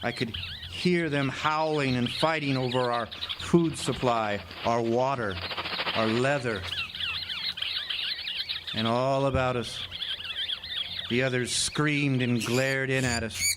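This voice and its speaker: A man's voice: medium pitch, natural voice. A man playing a haunted lighthouse keeper who tells his story in a radio drama, dramatic and stammering over words. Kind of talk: radio drama first-person narration. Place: outdoor amphitheater stage. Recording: noisy outdoor live stage recording, birdsong.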